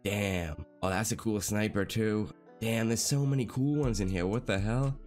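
A young man with a congested, nasal voice from a cold, chatting casually as he walks through a video game, with a steady stream of fillers. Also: on the low side, faint music behind. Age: young adult. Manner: fast, chatty, with lots of "like" fillers. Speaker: gaming YouTuber. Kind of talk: casual gameplay commentary. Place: small bedroom.

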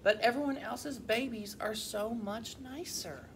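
A woman voicing a gentle mother hen in a storybook, wistful and easily upset, with a plaintive, sighing way of talking. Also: fairly high pitch, measured pace, slight background noise, voice pushed up. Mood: sad, wistful.

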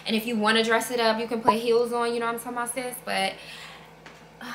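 A woman's voice: on the high side, flat delivery, fast talker, slight background noise. A woman in her thirties doing a casual clothing haul to camera at home, chatty and upbeat, calling viewers y'all and sis. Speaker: fashion vlogger. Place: living room with plants, indoors. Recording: echoey room.

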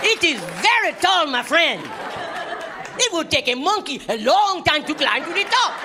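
A man's voice: very high-pitched, sing-song, moderate pace, a crowd in the background, pitched above the performer's own voice. A male comedian voicing an eager, friendly African tour guide who repeats himself, with an African accent. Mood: eager, welcoming. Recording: noisy comedy club with audience.

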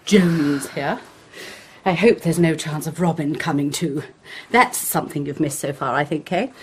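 An actress in a radio play as a sharp, embittered English schoolmistress who lectures disapprovingly in clipped phrases. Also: female voice, sits low, unhurried, slight background noise. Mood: disdainful. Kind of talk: radio drama acting.

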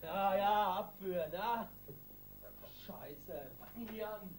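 Desperate young crook, angry, agitated protests, slangy, radio crime play, faint hum. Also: male voice, sits high, takes it slowly. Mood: furious, defiant. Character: desperate, angry young male criminal under arrest. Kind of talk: German radio crime drama acting.

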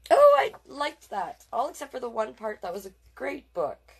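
A woman's voice: a little high, wildly swooping pitch, normal speed, vocal fry, slightly rough. A woman hosting a children's storytime, reading picture books aloud with playful, encouraging asides to young listeners. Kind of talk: picture-book read-aloud with chatty asides.

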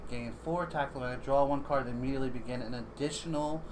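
Male game player, mid-pitched, inquisitive, thinking aloud, casual chat, noisy livestream room. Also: fast talker. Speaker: board game player learning the rules.

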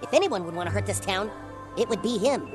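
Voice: medium pitch, expressive, fast, lightly acted. A voice actor playing an earnest cartoon boy who confronts a friend, over game music. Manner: accusing, earnest.

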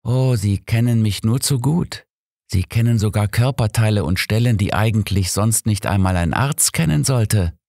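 A man's voice: on the low side, moderate pace, creaky, darkish tone, dry recording, clean studio sound, voice pushed up. A man voicing a sadistic, vengeful killer who speaks with cold scorn and mockery in an audiobook thriller. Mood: mocking, menacing. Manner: scornful, taunting. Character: sadistic, vengeful male killer, coldly mocking.

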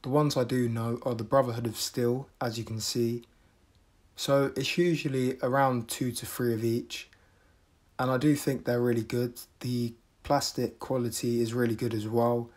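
A man giving an unboxing review voice-over in a measured, matter-of-fact way. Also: medium pitch, level tone, darkish tone. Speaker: video reviewer.

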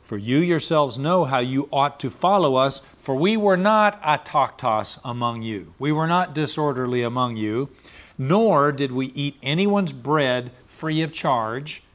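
A man's voice: mid-range voice, lively intonation, measured pace. Middle-aged man teaching Bible study. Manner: explanatory, spells out and repeats Greek words. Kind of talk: verse-by-verse Bible study lecture. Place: small indoor studio, seated in chair. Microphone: lapel mic.

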